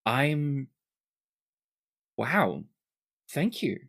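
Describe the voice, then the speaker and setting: A man's voice: medium pitch, big pitch swings, very slow, vocal fry, fairly bright tone, voice pushed up, heavily acted. A man voicing a young male prisoner pilot who has just been revived, dazed and oddly stoic.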